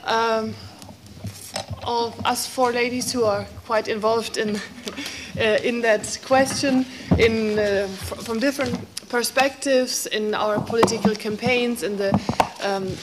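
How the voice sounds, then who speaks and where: A woman whose first language is German, giving a formal opening speech in English as the seminar host, earnest and slightly halting. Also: on the high side, fairly even intonation, background noise. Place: conference room.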